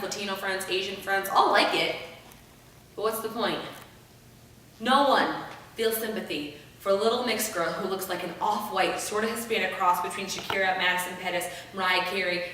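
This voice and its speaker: A young adult woman performing a rehearsed spoken-word monologue with emphatic, theatrical delivery and deliberate pauses. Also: sharp tone. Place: room without an audience. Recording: echoey room, distant mic, rumbling noise.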